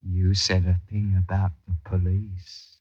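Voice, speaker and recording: An actor playing a smooth-talking young thief and ladies' man, wheedling in flowery period speech in an old radio drama. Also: low voice, monotone, unhurried, darkish tone, no echo, dull audio. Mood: coaxing.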